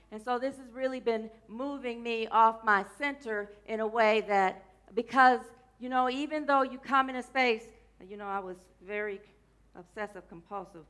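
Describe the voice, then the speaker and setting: A woman minister giving a loose, chatty sermon to her congregation. Her voice is scratchy today and she keeps clearing her throat, and she tends to wander off topic and joke along the way. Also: fairly high pitch, pushed and bright. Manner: rambling, playful, drifts off topic mid-sentence. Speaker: minister of a church.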